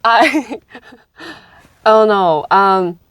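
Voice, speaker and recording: A woman's voice: medium pitch, animated, extremely slow, a bit bright, no echo. A woman guest in a casual talk who laughs through her words and corrects herself as she goes. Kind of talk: casual conversation.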